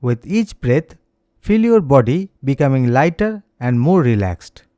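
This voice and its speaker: Mellow, close-miked man guiding meditation. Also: medium pitch, sing-song, dry recording. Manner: gentle, soothing, unhurried instructions. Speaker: meditation instructor. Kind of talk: slow guided meditation, scripted narration.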